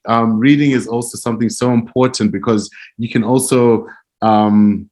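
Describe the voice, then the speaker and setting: A man from Zimbabwe, answering interview questions in an earnest, reflective way with frequent soft laughs. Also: medium pitch, fairly even intonation, normal speed. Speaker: interview guest, music publishing director.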